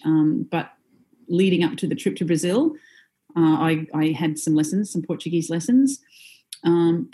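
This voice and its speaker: A woman who sings in a band, talking in an interview in a reflective, easygoing way, with frequent pauses and small laughs. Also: on the low side, dark tone. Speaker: singer in a band, guest. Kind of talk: conversational interview.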